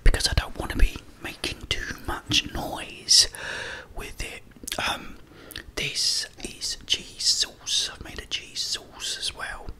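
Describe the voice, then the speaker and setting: A man speaking in a breathy whisper, chatting and telling casual stories in a halting way as an ASMR host while eating. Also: bright, forceful tone. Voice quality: breathy, mostly unvoiced whisper.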